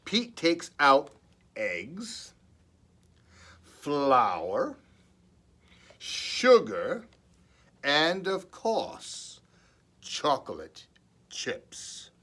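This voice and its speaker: Children's librarian, male, very unhurried delivery with lively swings in pitch, expressive read-aloud with playful asides.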